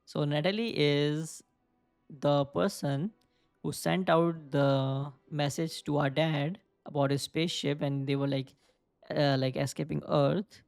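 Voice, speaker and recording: A man's voice: fairly high pitch, steady tone, a little slow, creaky, a little mellow, smooth, no echo, faint music behind. A young man casually commenting on a video game, thinking aloud and rambling with lots of filler words. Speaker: gaming video creator. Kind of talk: casual let's play commentary.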